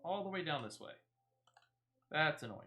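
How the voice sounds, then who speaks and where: A man's voice: fairly high pitch, lively intonation, normal speed, sharp tone. A man streaming a video game, casually thinking aloud and narrating each of his moves. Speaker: gaming streamer. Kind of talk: casual gameplay commentary.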